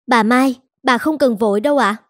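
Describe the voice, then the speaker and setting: A woman's voice: fairly high pitch, normal speed, natural voice. A woman playing a firm, polite young mother in a scripted cartoon, reasoning earnestly.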